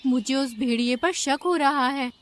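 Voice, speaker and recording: A woman voicing a caring, righteous female bird in an animated fable, speaking in an earnest, concerned way. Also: sits high, normal speed, a little hiss or hum, lightly acted. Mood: suspicious.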